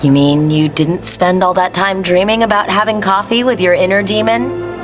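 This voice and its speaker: An actress playing a cool, wry, protective heroine in a fantasy video game, with a teasing manner. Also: female voice, mid-range voice, steady tone, normal speed, close to an everyday voice. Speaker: player hero in a fantasy game. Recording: loud music behind the voice.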